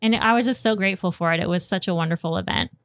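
A woman's voice: fairly low pitch, racing, fairly bright tone, dry recording, clean studio sound. A woman hosting a podcast, chatting casually and effusively in a conversational interview style. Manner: enthusiastic, effusive. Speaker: podcast host.

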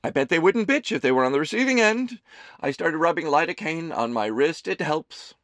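Man doing a loud, chirpy diner mom. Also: expressive, a little fast, no echo. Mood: teasing. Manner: loud, theatrical, high chirpy voice. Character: doting gossipy middle-aged diner mother, brash.

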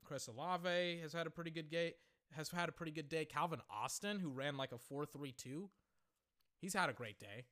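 A young man hosting a podcast, reacting live while he watches, excitable and swearing freely, his voice going hoarse. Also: a little high, moderate pace. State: voice getting hoarse. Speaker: podcast host.

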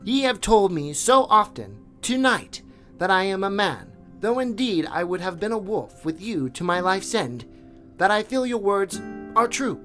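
A man voicing a wild boy raised by wolves, crying out high and defiant. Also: big pitch swings, a little slow, a bit bright, faint music behind, full character voice. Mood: furious.

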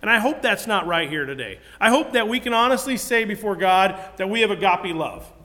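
A high-voiced man gives a sermon in an earnest, conversational way, often stopping to restart his sentences. His voice rings out with a long reverb behind it. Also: lively intonation, brisk, sharp tone. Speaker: preacher.

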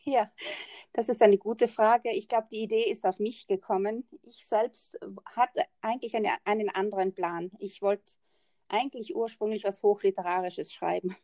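A woman's voice: mid-range voice, steady tone, moderate pace, mellow, dry recording. A woman from South Tyrol with a South Tyrolean German accent, a guest author chatting casually in an interview, laughing often at her own remarks. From South Tyrol, northern Italy.

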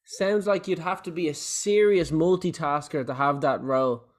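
Higher-pitched male podcast host. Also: normal speed. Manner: encouraging, asks open follow-up questions. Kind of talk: interview questions.

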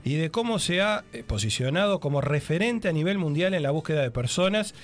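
A man's voice: a little high, lively intonation, a little fast. A male radio host, interviewing in a casual, hesitant way with many fillers.

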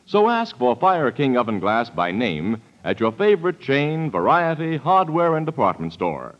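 Old time radio ad guy, very animated, emphatic sales pitch, muffled sound. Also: moderate pace. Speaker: radio announcer. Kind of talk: 1940s radio sponsor advertisement, scripted.

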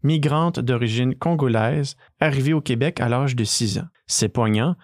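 A man, hosting and narrating a scripted podcast in a measured, read-aloud way. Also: mid-range voice, darkish tone, no room sound, studio quality. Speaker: podcast host.

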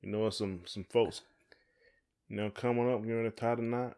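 Podcast co-host: middle-aged man, lower register, relaxed wording, conversational and colloquial. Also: fairly quick. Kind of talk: casual chat.

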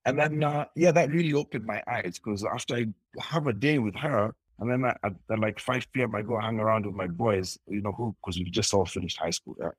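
A man's voice: on the low side, brisk, few pauses, husky, no echo, low-quality recording. A young man talking as an interview guest, telling his story in a rambling way with frequent restarts. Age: young adult. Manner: rambling with many restarts and laughs.

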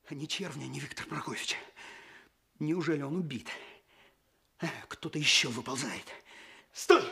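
A man playing an eager, excitable young police chief in a radio play, urgent and commanding. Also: fairly high pitch, creaky, heavily acted. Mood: commanding, shouting. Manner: urgent, barking orders.

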